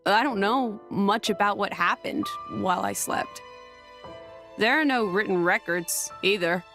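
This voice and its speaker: An actress playing a young, earnest heroine in a video game, restrained and directly questioning. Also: female voice, mid-range voice, measured pace, a bit bright, lightly acted. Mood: searching, sceptical. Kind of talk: dramatic video game voice acting. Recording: orchestral music behind.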